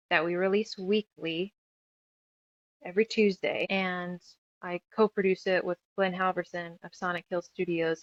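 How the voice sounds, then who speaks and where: Dry, slightly muffled recording, lower-pitched woman from New Mexico, earnest, emphatic, halting phrases, conversational. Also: dry recording. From New Mexico, United States. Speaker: interview guest, author and radio show producer. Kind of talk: casual interview conversation, personal reflection.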